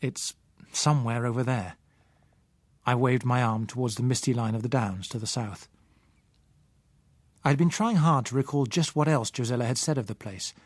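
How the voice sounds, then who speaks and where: A man with a British accent reading a novel in the first person as an audiobook narrator, in a measured, literary way. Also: mid-range voice, darkish tone, no room sound.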